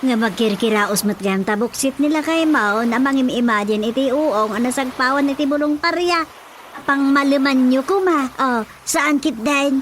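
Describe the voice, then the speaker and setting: A woman's voice: high voice, normal speed, slight background noise, close to an everyday voice. An actress with an Ilocano Filipino accent playing a frank, motherly older village woman in a radio drama. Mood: teasing, frank. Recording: music bed behind the voice.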